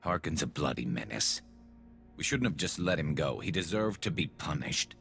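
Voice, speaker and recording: A super deep gravelly alien soldier, vengeful and menacing, video game style, music behind. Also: male voice, big pitch swings, fairly bright tone, heavily acted. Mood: bitter, resolute. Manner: grim, measured, menacing. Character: brooding, vengeful male alien vigilante soldier.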